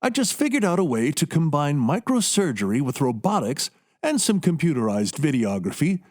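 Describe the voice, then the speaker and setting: An actor playing a renowned, modest neurosurgeon in a radio play, genial and conversational. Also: male voice, a little high, sing-song, moderate pace, darkish tone. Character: renowned, self-deprecating male neurosurgeon and inventor.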